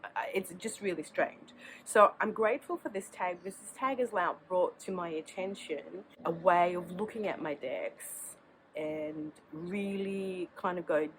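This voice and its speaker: A woman's voice: on the low side, measured pace, slight background noise, low-quality recording. A woman with a sore throat and a cold, chatting casually to camera outdoors as the host of her tarot channel, rambling and restarting sentences. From Australia.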